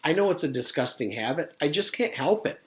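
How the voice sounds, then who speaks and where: A man's voice: fairly high pitch, animated, brisk, pitched above the performer's own voice, close to an everyday voice. A man voicing a sheepish, apologetic schoolboy who pleads and fibs in a storybook read-aloud. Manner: pleading, defensive.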